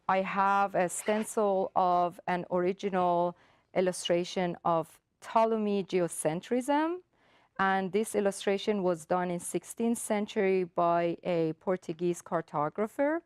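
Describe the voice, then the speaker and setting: Woman, Persian native speaker, artist explaining her process, step-by-step, somewhat low voice, dry close sound. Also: smooth, no room sound. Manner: careful, step-by-step explanation with frequent ums and uhs. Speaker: artist giving a demonstration. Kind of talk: explaining her work and technique.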